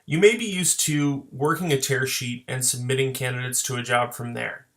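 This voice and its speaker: A man narrating an instructional screen tutorial as a software trainer, explaining plainly step by step. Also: medium pitch, normal speed. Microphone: voice-over for screen recording.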